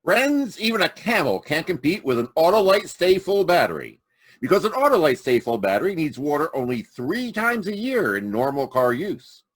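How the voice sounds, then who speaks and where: A man playing an eager, folksy 1940s radio pitchman, delivering emphatic salesman's patter. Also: big pitch swings, moderate pace, no echo. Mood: eager, selling.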